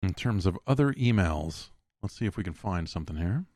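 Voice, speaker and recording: Low-voiced American man, dry close sound. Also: expressive, darkish tone, dry recording. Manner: rambling, halting, thinking aloud. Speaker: podcast host. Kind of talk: casual solo podcast talk, reading listener emails.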